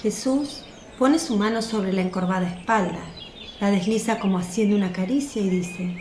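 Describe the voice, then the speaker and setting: A woman's voice: mid-range voice, moderate pace, darkish tone. A woman reading scripted narration for a radio drama. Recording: roomy sound with faint noise. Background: birdsong, outdoor ambience.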